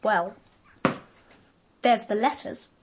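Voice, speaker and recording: A woman's voice: mid-range voice, slow pace, background noise, lightly acted. A woman playing a precocious, bossy young girl in a radio drama, blunt, smug and taunting. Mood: bossy, knowing.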